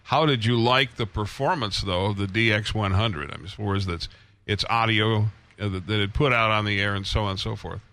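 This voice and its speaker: A man hosting a casual radio chat about old transmitters, rambling with many pauses and restarts. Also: fairly low pitch, bright, forceful tone.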